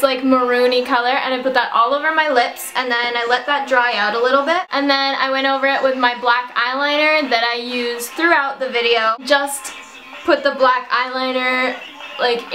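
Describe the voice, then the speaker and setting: A young woman with a fairly high voice walks through a how-to tutorial in a breezy, informal manner. She sounds slightly under the weather, as if her voice is starting to give out. Her phrasing is slangy and casual, like talking to friends. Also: steady tone, moderate pace, pushed and bright, faint music behind, rough recording. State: slightly sick, voice giving out. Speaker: makeup tutorial host.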